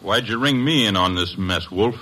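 A man's voice: fairly low pitch, normal speed, sharp tone, slightly rough. A man playing a tough, insolent prizefighter in an old-time radio drama, curt, slangy and menacing. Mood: suspicious, guarded. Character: tough, insolent male prizefighter, streetwise and suspicious.

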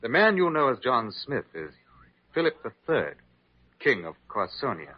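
A man's voice: mid-range voice, very animated, normal speed. An actor playing a grave, formal confidant of an exiled king in an old-time radio drama. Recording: dull lo-fi vintage radio recording.

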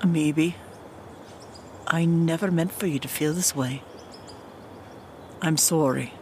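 Woman doing a stately queen mum, formal and unhurried, stern but regretful, fantasy audio play, slight noise. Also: low voice, a little mellow. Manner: formal, measured, regal. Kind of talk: scripted fantasy audio drama acting.

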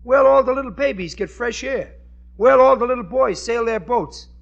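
An actor playing a naive, self-important American working-class husband in an old radio comedy, blustery, folksy and ungrammatical. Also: male voice, very high-pitched, normal speed, creaky, muffled recording. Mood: indignant. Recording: vintage radio recording with studio audience.

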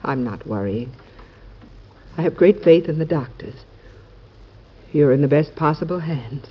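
An actress playing a president's devoted, anxious yet composed wife in an American old-time radio drama, soft and formal. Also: female voice, low voice, lively intonation, unhurried, breathy edge, exaggerated voice. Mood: steady, loving. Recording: dull, noisy vintage radio recording.

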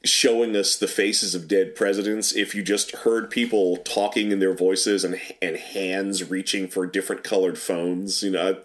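A man co-hosting a podcast, giving thoughtful, critical takes on movies in casual chat. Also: low-pitched. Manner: thoughtful, critical, stammers on starts. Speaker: podcast co-host.

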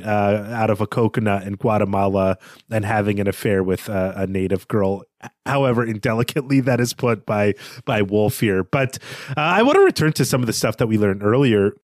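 A man's voice: lowish voice, fairly quick, no room sound, clean studio sound. A man co-hosting a literary discussion podcast, talking analytically in bookish phrasing. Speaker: podcast co-host.

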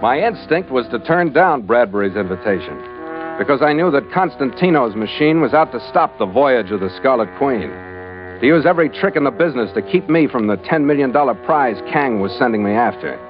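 A muffled vintage radio recording with music playing. A male actor plays a tough ship's master in 1940s radio drama style, middling in pitch. He is wary and hard-boiled, giving his account in short, clipped phrases. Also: animated, brisk, natural voice. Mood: tense, recounting. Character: tough, suspicious male sea captain, gruff and wary. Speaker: lead actor and narrator. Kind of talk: 1940s radio acting, first-person narration of a ship's log.

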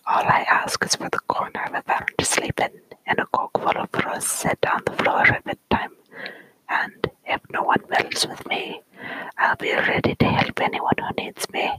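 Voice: moderate pace, bright, forceful tone, natural voice, rough recording. A storyteller whispering the lines of a strange, hairy little brownie who offers to work for nothing, in old-fashioned speech. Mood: earnest offering.

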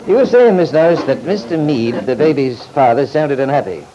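A man as a pressing, high-pitched, animated lawyer. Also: moderate pace, natural voice. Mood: forceful, pressing. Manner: pressing, formal, repeats himself with stammered lead-ins. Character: insistent, coaxing male courtroom lawyer questioning a witness. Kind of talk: old-time radio drama acting. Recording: noisy vintage radio recording.

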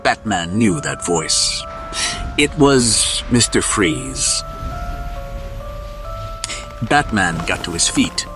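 A man's voice: low-pitched, very animated, very slow, pauses often, over music. A man narrating a scripted superhero story in a suspenseful, dramatic storybook style.

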